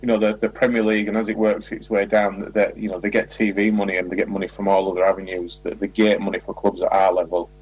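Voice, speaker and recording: A man's voice: fairly low pitch, level tone, fast, few pauses, darkish tone, breathy edge, muffled recording. A man talking as a football manager in an informal interview, repeating words as he explains.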